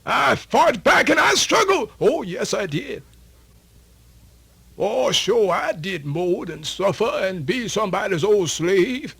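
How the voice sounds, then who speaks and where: A man's voice: high-pitched, big pitch swings, slow pace, vocal fry, fairly bright tone, husky, heavily acted. A man playing an aged, weary yet proud storyteller elder, with a folksy, solemn, oratorical delivery in a radio theatre monologue. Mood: proud, defiant. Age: older. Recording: music playing under the voice.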